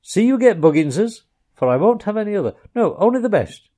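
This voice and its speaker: A man voicing a hearty, masterful male water rat who gives orders briskly. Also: high voice, very animated, warm tone, pitched above the performer's own voice. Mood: hearty, commanding. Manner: brisk, commanding, bossy.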